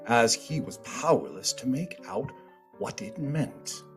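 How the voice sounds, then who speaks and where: A man narrating a radio play in a theatrical storybook style with deliberate phrasing. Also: mid-range voice. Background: music.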